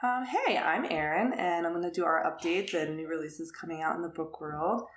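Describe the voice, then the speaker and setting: Casting: woman podcast co-host, lower register, excitable casual chat, echoey room sound. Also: moderate pace. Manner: enthusiastic. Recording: roomy echo.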